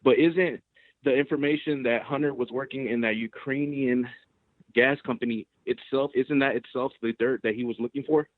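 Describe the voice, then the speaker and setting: A man's voice: medium pitch, fairly even intonation, moderate pace, no room sound. A man calling in to a live show, asking short, direct questions. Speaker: caller. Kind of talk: phone call to a live show. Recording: phone line.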